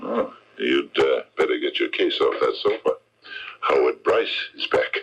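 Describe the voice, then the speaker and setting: Wry old-school private eye for a 70s radio mystery, super low gravelly voice, blunt, knowing. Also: male voice, animated, unhurried, fairly bright tone, full character voice, poor audio. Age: middle-aged. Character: seasoned, suspicious, wry male private detective. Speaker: radio drama actor. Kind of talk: 1970s radio mystery acting.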